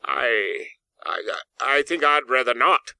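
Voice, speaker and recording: A man's voice: medium pitch, expressive, takes it slowly, gravelly, bright, forceful tone, clear, resonant tone, no echo, exaggerated voice. A man voicing a fearful old miser in a storybook, pleading in a faltering manner. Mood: timid, faltering. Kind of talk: dramatic audiobook character acting.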